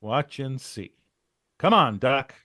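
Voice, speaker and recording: A man plays a cool, hard-boiled American private operative in an old radio crime serial. He is wry and commanding, with a medium-pitched voice in a dry recording. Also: expressive, slow, bright, forceful tone, no echo. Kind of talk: 1940s radio drama acting.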